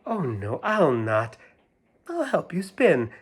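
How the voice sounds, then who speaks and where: A man voicing a sly female cat in a storybook rhyme, coaxing in a drawn-out way. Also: on the high side, wildly swooping pitch, slightly rough, voice pushed up, exaggerated voice.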